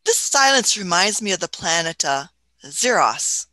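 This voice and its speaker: Woman acting a bright, musing elderly scientist. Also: lowish voice, sing-song, measured pace, no room sound, heavily acted, poor audio. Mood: pensive. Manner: hemming, musing, punctuating with "hmm" and chuckles. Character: elderly time-travelling scientist, grandmotherly, curious, imperious. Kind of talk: radio play acting.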